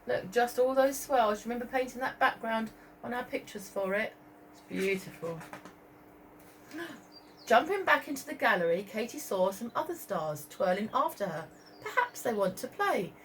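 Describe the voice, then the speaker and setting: A woman reading a story aloud to young children as a teacher, expressively, pausing to point out pictures and ask questions. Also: on the high side, expressive, a little hiss or hum, low-quality recording. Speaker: primary school teacher.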